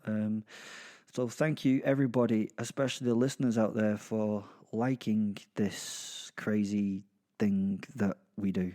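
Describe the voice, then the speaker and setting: Slow, mellow man narrating, halting and informal. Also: lowish voice, flat delivery. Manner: informal, halting, self-deprecating. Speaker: narrator and podcast host. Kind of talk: first-person audio drama storytelling and informal address.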